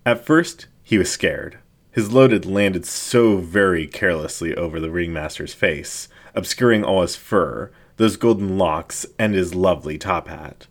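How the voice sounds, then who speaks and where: Male narrator, very slow delivery, somewhat low pitch, dramatic fiction reading, scripted. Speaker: story narrator.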